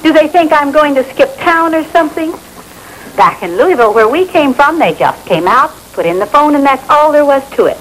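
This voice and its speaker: An actress playing an easily offended, haughty housewife who complains indignantly in an old training film. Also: female voice, sits high, animated, moderate pace. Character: huffy, easily offended housewife, new in town. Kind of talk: vintage training film acting. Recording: noisy old film soundtrack.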